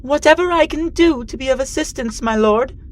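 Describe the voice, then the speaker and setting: A woman's voice: high voice, normal speed, lightly acted. A woman playing a submissive, deferential military underling to an emperor in a fantasy cartoon, with a pleading manner. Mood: anxious pleading. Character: timid, submissive pregnant female military underling. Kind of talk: animated fantasy character acting.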